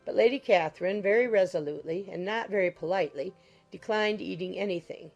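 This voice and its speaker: A woman's voice: medium pitch, brisk, highs cut off, soft background music. A woman reading scripted literary narration as an audiobook narrator.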